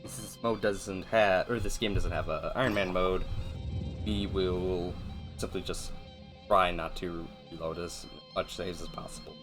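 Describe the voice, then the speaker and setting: Low-voiced man, flat gaming commentary. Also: a little slow, music in the background. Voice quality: flat, monotone. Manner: rambling, self-deprecating. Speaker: gaming video host. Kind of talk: casual gameplay commentary.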